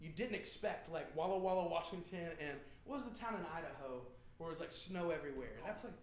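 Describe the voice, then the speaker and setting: A man's voice: a little high, expressive, fast. An American man hosting a band's live stream, telling stories and joking in a playful, rambling, slangy way. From Indiana, United States. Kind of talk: casual joking banter with viewers. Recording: roomy, echoing live stream sound.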